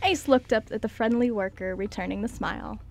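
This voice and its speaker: A woman's voice: fairly high pitch, a little fast, creaky, a little mellow, slight background noise. A woman reading scripted story narration as the narrator of an amateur radio drama.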